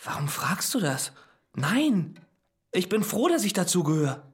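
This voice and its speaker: A man's voice: high voice, big pitch swings, measured pace. A man playing a socially awkward young maths student, hesitant and nervous, in a naturalistic radio drama. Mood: unsure. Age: young adult.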